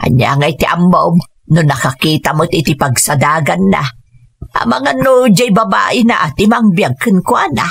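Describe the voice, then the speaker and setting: A woman playing an abandoned mother in a radio drama, speaking in a steady, earnest way. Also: on the low side, normal speed, gravelly, husky, no room sound. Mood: worried. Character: abandoned mother, resolute and weary.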